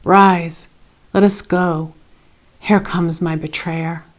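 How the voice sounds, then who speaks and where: A solemn, resolute man of sorrows in a scripture story, voiced by a woman. The delivery is measured and often drops to a hush, with a low pitch for a woman. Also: steady tone. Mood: grave, urgent.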